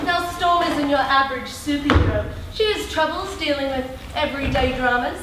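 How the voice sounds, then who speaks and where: A woman acting a chirpy TV reporter who presents a superhero documentary, projected and deliberate in a comic stage style. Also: high-pitched, lightly acted. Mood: announcing. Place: live stage performance in a hall. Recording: very echoey hall, distant mic, audience noise.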